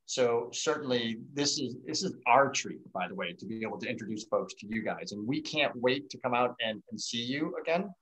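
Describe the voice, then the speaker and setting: A man's voice: medium pitch, expressive, brisk, few pauses, a bit bright, poor audio. A middle-aged American man hosting casually and chatting over a video call. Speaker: host of a virtual wine tasting. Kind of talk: casual chat. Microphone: video call microphone.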